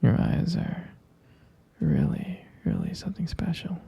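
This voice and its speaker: A performer playing a tender, doting partner at bedtime in an intimate ASMR roleplay, in a breathy, gravelly whisper with a halting, affectionate delivery. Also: medium pitch, wildly swooping pitch, muffled recording, heavily acted. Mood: adoring, flirtatious. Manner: slow, hushed, halting, affectionate.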